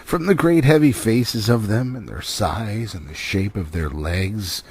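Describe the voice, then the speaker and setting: A man narrating a storybook expressively, with dramatic pauses and drawn-out phrasing. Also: lowish voice, breathy edge. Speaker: audiobook reader.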